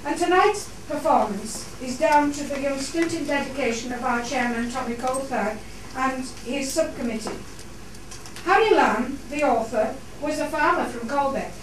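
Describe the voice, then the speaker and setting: A woman's voice: sits high, normal speed. A woman host giving a formal welcome and introduction to an audience in a theatre. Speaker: society host introducing a play. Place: theatre, on stage. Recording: echoey hall, distant mic, noisy.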